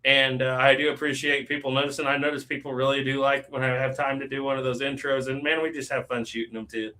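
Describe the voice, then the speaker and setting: A man's voice: medium pitch, little pitch movement, quick pace, bright, forceful tone, rough recording. A man talking as a guest on a casual live stream, chatty, with lots of fillers and easy laughs.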